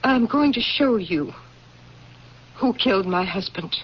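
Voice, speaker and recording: An actress playing a grieving, proud and resolute general's widow in an old-time radio drama, speaking formally and with theatrical feeling. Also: female voice, medium pitch, expressive, a little slow, husky. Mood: confessional, anguished. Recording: muffled, hissy old radio recording.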